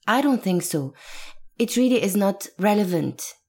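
A woman in her thirties from Lebanon, a guest actress who talks things through earnestly and with emphasis, laughing now and then. Her pitch sits in an ordinary middle range. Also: lively intonation, normal speed, mellow. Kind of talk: reflective interview talk.

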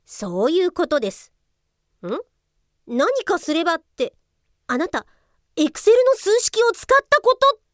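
Very high-voiced officious policewoman played by an actress. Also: huge pitch swings, unhurried, long pauses, no room sound, studio quality, heavily acted. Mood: dry, incredulous. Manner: formal, assertive. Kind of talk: comic radio drama acting.

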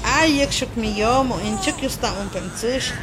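A woman's voice: fairly high pitch, unhurried, lightly acted. A woman, a native Popoluca speaker, playing a village woman chatting with neighbours in a radio drama. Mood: conversational. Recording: noisy outdoor vehicle ambience.

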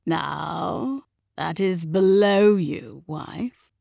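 A woman's voice: mid-range voice, animated, slow pace, creaky, fairly bright tone, no echo, studio quality, exaggerated voice. A woman voicing a coldly bored, cutting aristocratic father in an audiobook, with a haughty, impatient drawl. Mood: cold disdain. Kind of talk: audiobook character acting.

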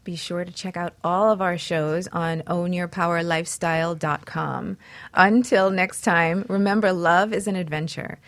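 A woman's voice: lowish voice, fairly even intonation, normal speed. A woman hosting a talk show in a conversational way, speaking as a relationship coach.

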